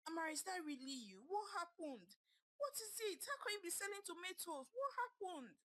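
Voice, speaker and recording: A woman's voice: extremely high pitch, big pitch swings, normal speed, fairly bright tone, voice pushed up, heavily acted. A woman voicing a young woman who fires off astonished questions at an old roommate. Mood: shocked.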